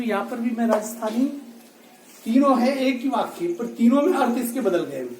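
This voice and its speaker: A teacher giving a classroom lecture who explains slowly, repeats key phrases and speaks directly to the students. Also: mid-range voice. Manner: addresses students directly, scolds inattention. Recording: echoey room, voice from a distance, faint noise.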